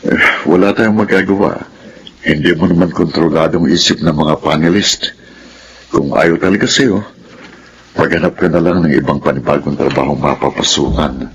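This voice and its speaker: A man's voice: extremely low pitch, normal speed, a little hiss or hum, poor audio. A man playing a weary, patient middle-aged father of grown children in a Philippine radio drama. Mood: gentle, resigned.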